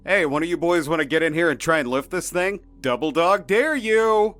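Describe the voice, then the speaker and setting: A man's voice: fairly high pitch, lively intonation, normal speed, fairly bright tone, faint music behind, pitched above the performer's own voice. A man playing a cocky, easygoing supernatural entity who talks in a casual, flippant, slangy way in an audio drama.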